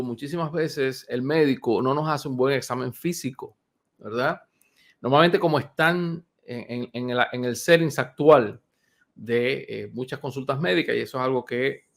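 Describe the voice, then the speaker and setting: A man of fifty who works as a doctor explains health matters to his audience in a relaxed, chatty way. He speaks at an ordinary pitch for a man and fills his sentences with pauses and restarts. He is recorded on a single close mic. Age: 50s. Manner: unhurried, many fillers and restarts, conversational. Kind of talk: informal health talk to viewers.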